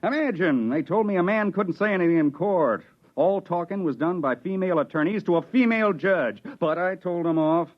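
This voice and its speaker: An actor playing a blunt, rough-hewn man from Venus with a folksy rustic drawl, in an old radio drama. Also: high-pitched, expressive, a little fast. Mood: folksy, gruff. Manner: teasing, calls the boy tadpole. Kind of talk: 1950s radio drama acting. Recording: muffled 1950s radio broadcast.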